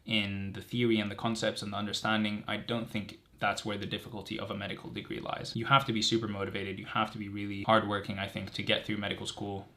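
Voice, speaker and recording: A young man with a fairly low voice talks casually, as if straight to his viewers. He is from Greece and works through his points earnestly. He leans on key words and often repeats them for stress. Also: flat delivery, quick pace, few pauses, sharp tone. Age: 20s. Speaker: medical student vlogger. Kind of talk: casual direct-to-camera chat, answering assumptions.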